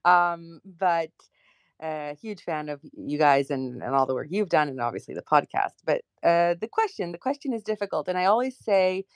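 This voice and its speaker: A woman from Poland of Ukrainian family, speaking with a slight Ukrainian accent in a chatty, lively way as a podcast guest. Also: lowish voice, moderate pace, smooth, dry recording, clean studio sound. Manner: lively, chatty, self-deprecating asides. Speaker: political scientist guest. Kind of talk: interview answers.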